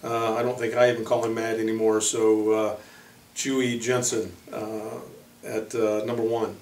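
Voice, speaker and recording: A man speaking as a college basketball coach, introducing players informally in a halting way with frequent pauses. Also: fairly low pitch, monotone, a little mellow. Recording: echoey room, distant microphone.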